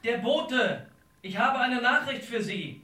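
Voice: mid-range voice, expressive, slow, bright, forceful tone. A young actor in a radio drama playing a polite, matter-of-fact messenger boy making a late delivery. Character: polite young male night messenger delivering a greeting card. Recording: roomy echo with clear noise.

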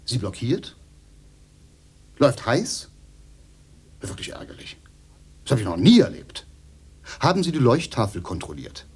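A man's voice: a little high, a little mellow, slightly rough, heavily acted. An actor in a radio play voicing a glib, eager American salesman who chats through his sales patter. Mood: flustered, placating.

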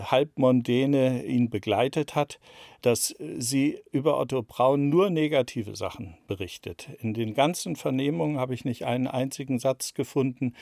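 A man giving analytical interview answers as an expert, deliberate and explanatory, stressing key words. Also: medium pitch, creaky, a little mellow, no echo, studio quality. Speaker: interviewed expert on a criminal case.

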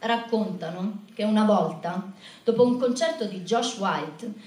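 A woman's voice: mid-range voice, measured pace, a little mellow. A woman performing a spoken monologue and telling stories as part of a live show. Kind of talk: spoken monologue and storytelling to an audience. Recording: echoey room from far off, music behind.

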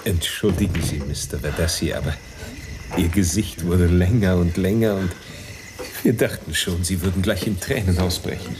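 A man's voice: low-pitched, moderate pace, background noise, close to an everyday voice. An actor playing a casual, easygoing young man of twenty in a radio drama, speaking offhandedly. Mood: offhand. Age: young adult.

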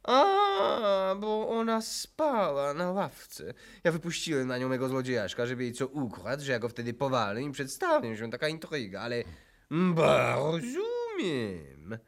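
A man playing a vain, excitable aristocratic count in a radio play, haughty and exclamatory. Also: medium pitch, measured pace. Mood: excited realisation. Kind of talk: theatrical radio play acting.

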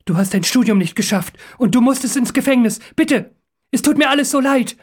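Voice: medium pitch, normal speed, lightly acted. A narrator voicing a terrified captive man in an audiobook, begging urgently and crying for help. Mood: pleading.

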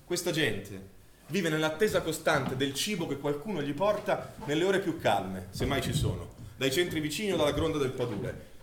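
Male actor as wartime villager witness, expressive and bitter radio-play monologue, high voice, echoing room. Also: normal speed, natural voice. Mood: grave, emphatic. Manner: expressive, dramatic storytelling delivery. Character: Italian villager witness recounting wartime German occupation, bitter, vivid. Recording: roomy, distant stage recording with faint noise.

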